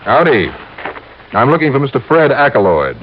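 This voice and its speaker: An actor playing a wry, tough insurance investigator in 1950s radio drama, with an American accent and a clipped, hard-boiled manner. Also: male voice, medium pitch, sing-song, moderate pace, slight background noise, lightly acted. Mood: businesslike. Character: wry, tough male insurance investigator narrating his own case. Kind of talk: 1950s radio detective acting. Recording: dull old radio recording with music.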